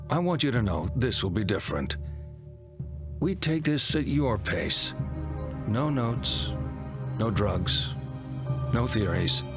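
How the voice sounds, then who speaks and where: An actor playing a middle-aged psychotherapist, deliberate, probing and slightly theatrical, in a video game monologue. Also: male voice, fairly low pitch, exaggerated voice. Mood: reassuring, controlled. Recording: loud music under the voice.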